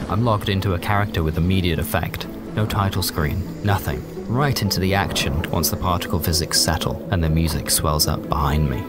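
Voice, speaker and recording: A British man narrating a scripted comic story in the first person with a wry, understated manner. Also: deep voice. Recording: loud music bed under the voice.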